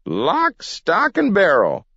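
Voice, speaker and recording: A man's voice: big pitch swings, a little slow, no echo. A man voicing a feisty, folksy old grandfather who crows with glee in a storybook reading. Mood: triumphant. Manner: folksy, shrill, crowing. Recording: dull lo-fi recording.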